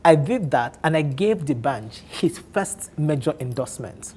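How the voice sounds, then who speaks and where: Animated Nigerian man with Igbo accent. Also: on the high side, a little slow, slight background noise. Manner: animated, laughs often, many restarts. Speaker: guest on a men's talk show. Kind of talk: candid storytelling chat.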